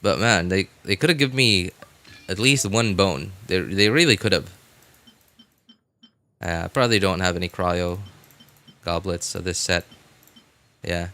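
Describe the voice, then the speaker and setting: A man's voice: sits low, unhurried, pauses often, bright, forceful tone. A man livestreaming a video game, chatting casually with viewers in a laid-back, informal way and muttering asides to himself. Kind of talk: casual gaming stream commentary, chatting with viewers.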